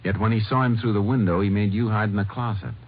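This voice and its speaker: An actor playing a steady, courteous Texas Ranger investigator in a 1950s radio drama, speaking tersely and politely. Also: male voice, fairly low pitch, fast, natural voice. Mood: probing. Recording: tinny, hissy old radio broadcast.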